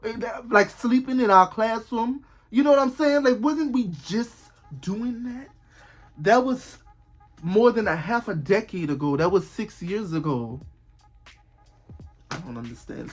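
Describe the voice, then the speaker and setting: A twenty-year-old man vlogging in casual talk, rambling and repeating himself, with bursts of disbelief. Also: sits high. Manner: rambling, repetitive, exclaiming in disbelief.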